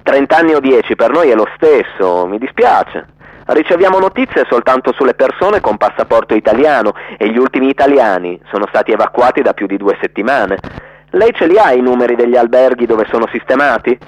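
Male reader playing a detached, apologetic official on a call for radio drama, brisk, slightly muffled sound. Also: medium pitch, lively intonation, no echo, natural voice.

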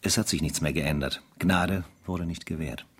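Grave officer reading his diary, very low husky voice, measured, foreboding, radio drama narration. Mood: solemn, foreboding. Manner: measured, solemn, dramatic pauses.

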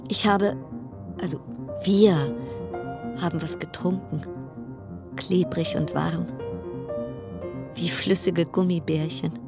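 A woman's voice: fairly low pitch, fairly even intonation, slow pace, pauses often, over loud music. An actress in a radio drama playing a wry, anxious cruise passenger stranded at sea, telling her story in literary, broken-off sentences. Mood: confiding, uneasy. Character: weary woman passenger stranded on plague-stricken cruise ship, wry, anxious.

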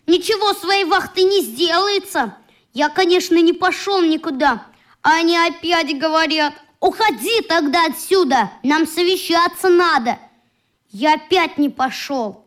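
A woman's voice: very high voice, measured pace, a bit bright. A woman playing an earnest, indignant little boy in a radio play, reporting in an artless, aggrieved way.

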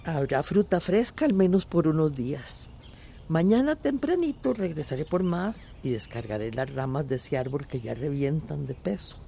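A woman's voice: sits low, expressive, moderate pace, creaky, warm tone, slight background noise. An actress playing a weary, caring old countrywoman in a Central American radio drama, speaking reflectively with a Central American accent. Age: older.

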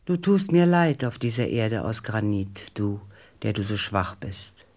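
A mysterious snake of the desert in a radio play. It speaks calmly and with measure, solemn and cryptic, with a quiet sense of power. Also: medium pitch, unhurried. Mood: solemn, pitying.

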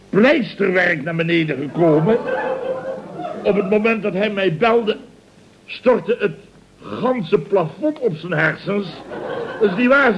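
An actor playing a pompous, easily flustered middle-aged contractor, blustering and stammering in a radio comedy serial. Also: male voice, high voice, noisy background. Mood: shocked, flustered. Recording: muffled old radio recording.

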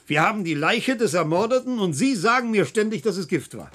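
An actor playing an irascible, domineering professor and chief physician in a German radio drama, blustering, sarcastic and impatient. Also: male voice, high-pitched, expressive, brisk, fairly bright tone. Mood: strained, pleading.

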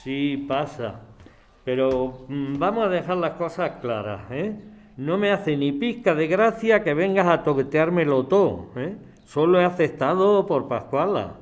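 A man plays a grumbling, housebound older man in a radio drama. His voice is fairly high, with an accent from Spain. He gives curt orders and distrusts people. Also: animated, measured pace. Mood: grumpy, warning. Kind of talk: acted audio drama dialogue.

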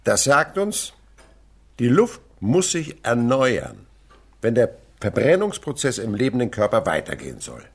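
A man's voice: mid-range voice, wildly swooping pitch, a little slow, breathy edge, exaggerated voice. An actor playing a patient, enthusiastic male teacher and naturalist in a German radio play, with a didactic, dramatic delivery. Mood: instructive, enthusiastic. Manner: didactic, dramatic swings between murmur and declamation.

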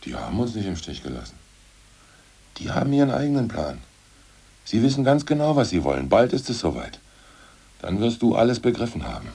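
An actor playing a pompous, scheming ousted party official in a 1980s radio play, talking in a grand, conspiratorial way. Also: male voice, on the low side, wildly swooping pitch, brisk, gravelly, long pauses, dark tone, breathy edge, heavily acted.

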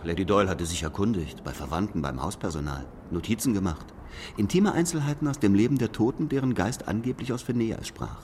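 Actor as smug, low-voiced master detective. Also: male voice, lively intonation, a little mellow, a little hiss or hum, natural voice. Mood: coolly accusing. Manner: deliberate, superior, lecturing, needling. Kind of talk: German radio play dialogue.

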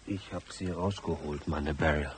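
An actor playing a gruff, troubled rural husband in a radio drama, plain-spoken and husky. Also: male voice, extremely low pitch, steady tone, normal speed, a little hiss or hum. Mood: grieving, defensive.

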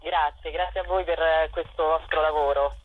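A man's voice: a little high, steady tone, moderate pace, a little hiss or hum. A man speaking as a guest in a conversational interview, heard over a dull remote link. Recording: dull, lo-fi phone or radio link.

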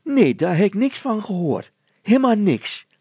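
A man's voice: high voice, sing-song, normal speed, dark tone, slightly rough, dry recording, pitched above the performer's own voice, heavily acted. A man voicing a muddled, stubborn village woman in a children's story, rambling, stumbling and repeating herself emphatically. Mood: emphatic.

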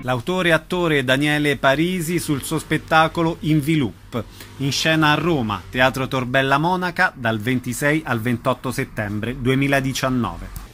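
A man reading a scripted radio announcement, measured, giving details phrase by phrase. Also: medium pitch, fairly bright tone, faint music behind.

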